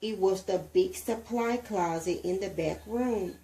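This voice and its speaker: Female storybook reader, unhurried and expressive, with a soft mellow tone. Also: medium pitch, low-quality recording. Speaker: reader, mother. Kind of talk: expressive storybook reading aloud.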